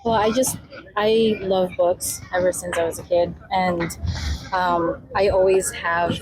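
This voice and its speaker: A woman of Filipino heritage, guest on a community radio interview, talking in a soft, hesitant way with many fillers. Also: lowish voice, level tone, a little slow, rough recording. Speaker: guest, mobile library founder. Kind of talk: casual interview talk.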